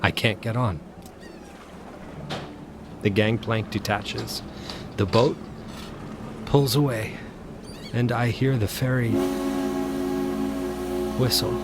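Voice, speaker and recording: An actor playing a haunted, obsessive man who narrates his supernatural terror in an intense, halting audio drama delivery. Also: medium pitch, fairly even intonation, noisy background. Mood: haunted, subdued. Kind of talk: audio drama first-person horror narration. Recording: loud music under the voice. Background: dramatic music.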